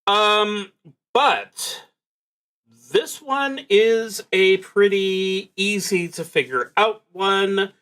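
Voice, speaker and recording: A man thinking aloud as he narrates a solo tabletop roleplaying game, in a halting, musing, wry way. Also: high voice, a bit bright, clear, resonant tone.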